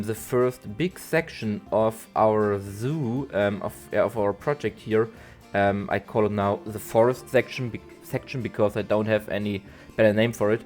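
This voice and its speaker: A man, a bit nasal from allergies, doing a halting voiceover for a game build video with lots of ums and restarts. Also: fairly low pitch. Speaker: zoo-building game creator. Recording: soft guitar music behind.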